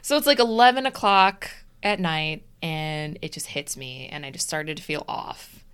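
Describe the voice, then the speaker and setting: Young woman podcast co-host, smooth low-leaning voice, casual storyteller. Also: normal speed. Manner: animated storytelling, acts out her own texts. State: recovering from vaccine side effects. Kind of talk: casual chat.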